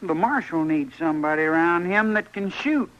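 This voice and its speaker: Teenage western boy, rural American, high-pitched, tense bright tone, sullen, boastful, muffled old radio sound. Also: lively intonation, moderate pace. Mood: defiant, boastful. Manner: defiant, boastful. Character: cocky, sullen teenage frontier boy itching to shoot. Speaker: radio drama actor. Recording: dull vintage radio recording.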